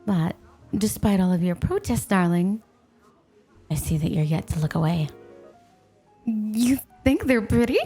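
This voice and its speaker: Mellow, teasing snow maiden played by a woman. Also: mid-range voice, lively intonation, measured pace, long pauses, soft background music. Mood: sly, flirtatious.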